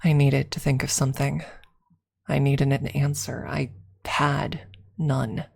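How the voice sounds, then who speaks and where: Woman, ASMR narrator, very low pitch, narrow intonation, soft deliberate delivery, dramatic pauses. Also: little pitch movement, creaky, breathy edge. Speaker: streamer reading a story aloud. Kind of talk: ASMR narration of a first-person story.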